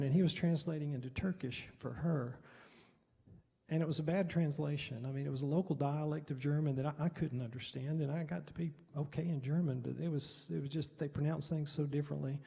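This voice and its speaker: A middle-aged American man, a pastor, telling personal stories in an informal, halting teaching style, often clearing his throat, with a husky, gravelly voice. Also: on the high side, dark tone. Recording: long hall reverb.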